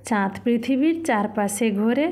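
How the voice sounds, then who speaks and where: A woman's voice: fairly high pitch, moderate pace, darkish tone, close to an everyday voice. A woman voicing a kindly, patient grandmother who narrates and explains in a children's cartoon story. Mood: explaining.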